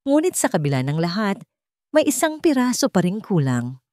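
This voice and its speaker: A woman narrating a scripted love story in a dramatic, measured storytelling manner. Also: mid-range voice, very animated, dark tone, dry recording, studio quality.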